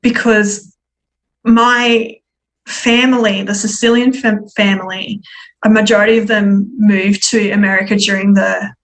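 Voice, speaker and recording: A woman guest telling her personal story in conversation, reflective and candid, with a halting delivery. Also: medium pitch, little pitch movement, poor audio. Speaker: podcast guest.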